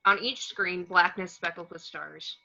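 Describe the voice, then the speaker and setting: A woman narrates the action lines of a screenplay. Her voice is on the low side but bright and forceful, and the recording sounds somewhat dull and lo-fi. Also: steady tone, measured pace, gravelly. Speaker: reader of the action description.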